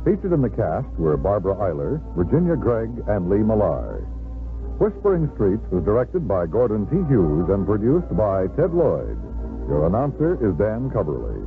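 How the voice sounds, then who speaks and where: A man's voice: fairly low pitch, huge pitch swings, normal speed. A man announcing scripted credits in 1950s radio style. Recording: tinny old radio broadcast recording. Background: music.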